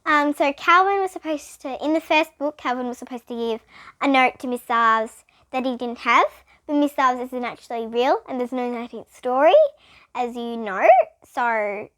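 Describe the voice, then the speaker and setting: A woman's voice: sits high, normal speed, a bit bright. A female reader narrating a children's storybook aloud, with occasional explanatory asides.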